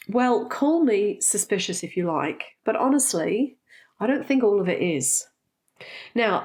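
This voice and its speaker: A middle-aged woman talks straight to her viewers in a relaxed, candid way, pausing on frequent ums. Her voice is stuffy and congested from a recent cold. Her pitch sits in an ordinary middle range. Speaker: spiritual teacher and vlogger. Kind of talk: casual talk to camera.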